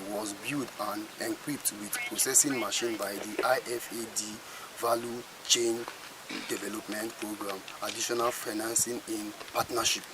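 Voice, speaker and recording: A young adult man with a Nigerian accent reading a news script, sometimes stumbling, as a student reporter. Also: medium pitch. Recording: noisy, slightly distant microphone.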